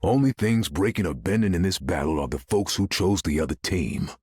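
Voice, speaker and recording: Tough folksy game hero, confident, low husky voice. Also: male voice, animated, brisk, a little mellow, no room sound, studio quality. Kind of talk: video game character voice lines.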